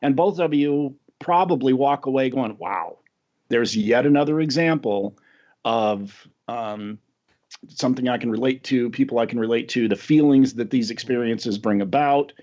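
A man's voice: medium pitch, normal speed, darkish tone. Chatty American man, lo-fi audio. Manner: rambling, chuckling, self-deprecating. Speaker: podcast host. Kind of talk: casual chat. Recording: dull lo-fi audio.

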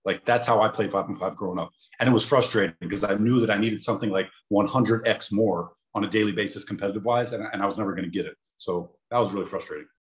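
Emphatic fast-talking ex-pro athlete man. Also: fairly low pitch, poor audio. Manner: emphatic, confident storytelling. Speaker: guest, former pro basketball player. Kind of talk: casual interview.

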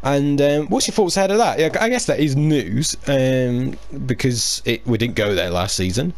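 A man's voice: medium pitch, expressive, normal speed. A man hosting a casual sports podcast, chatty and hesitant with lots of fillers and restarts. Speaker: podcast host. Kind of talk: casual chat.

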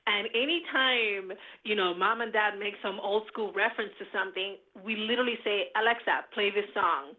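A middle-aged woman from the Dominican Republic, speaking. She tells stories in an animated, enthusiastic way at a medium pitch. Her voice comes through a thin, tinny phone line. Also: moderate pace, few pauses. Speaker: podcast guest. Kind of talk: casual interview chat.

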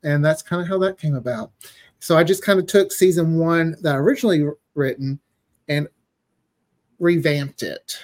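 A man's voice: a little high, normal speed. A man speaking as a writer guest, casually recounting how his work came about. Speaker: guest, audio drama writer.

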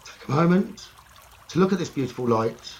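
A man leading a guided meditation slowly and soothingly, in short phrases with long pauses. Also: a little high, expressive, muffled recording. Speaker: meditation teacher. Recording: running stream water noise behind voice. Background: trickling stream water ambience.

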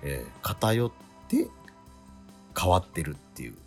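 A man's voice: sits low, sing-song, measured pace. A man introduces a radio programme as its host. He is casual and conversational, checking in for agreement as he goes. His intonation swings widely over faint background music.

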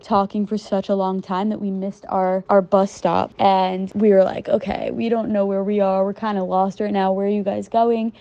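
A woman's voice: medium pitch, warm tone. A young woman from the United States telling a chatty anecdote full of "like" fillers, on a dull lo-fi recording. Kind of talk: casual anecdote.

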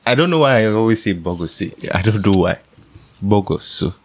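A man's voice: lowish voice, animated, brisk, no echo. A man with a Ghanaian accent co-hosting a podcast, chatty and repeating words as he talks. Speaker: podcast co-host, journalist. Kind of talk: casual chat.

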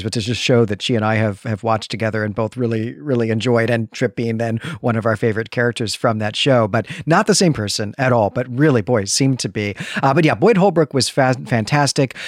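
A man's voice: mid-range voice, no echo. Man co-hosting a podcast, dry close sound. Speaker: podcast co-host. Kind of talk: casual discussion and review.

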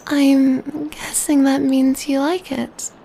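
Female performer as gentle, affectionate vampire lover for ASMR roleplay; mellow, hushed, high-pitched delivery, faint background noise. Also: little pitch movement, creaky, full character voice. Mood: excited, affectionate. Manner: tender, slow, hushed, softly giggling delivery. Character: gentle, doting female vampire pampering her adopted catgirl lover. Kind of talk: soft-spoken ASMR roleplay.

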